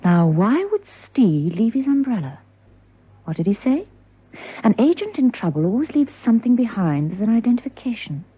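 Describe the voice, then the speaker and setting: An actress playing a clever, determined female secret agent in a spy radio drama, brisk and insistent. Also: on the high side, sing-song, husky, heavily acted. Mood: puzzled. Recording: muffled old radio drama recording.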